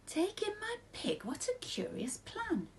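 A woman voicing a flustered, grumbling little old lady from a storybook, pleading and exclaiming with drawn-out words. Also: very high voice, wildly swooping pitch, a little hiss or hum, pitched above the performer's own voice, exaggerated voice. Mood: incredulous.

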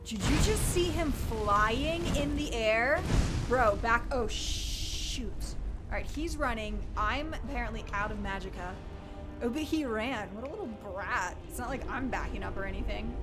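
A young woman playing a video game with a headset, jittery and excitable, swinging from loud yelps to hushed muttering. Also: a little high, measured pace. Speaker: gamer. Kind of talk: let's play commentary. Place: small room indoors. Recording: game music loud behind voice. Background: game music.

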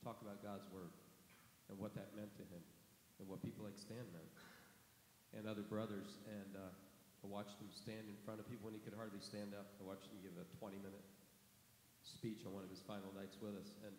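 A man's voice: lowish voice, flat delivery, fairly quick, a little mellow. A man on a church panel giving a candid personal testimony. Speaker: panel guest. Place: church stage, large hall. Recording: echoey hall with faint hiss.

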